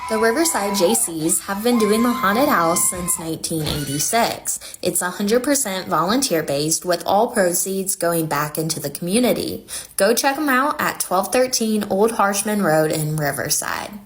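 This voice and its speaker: A woman's voice: mid-range voice, animated, moderate pace, background noise. A woman reporter giving first-person scripted video narration. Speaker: newspaper reporter. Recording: voice-over with music bed. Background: music.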